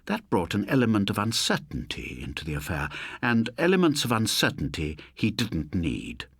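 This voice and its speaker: Low, expressive, husky male storyteller. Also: moderate pace, no room sound, studio quality. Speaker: audiobook narrator. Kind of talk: scripted suspense story narration.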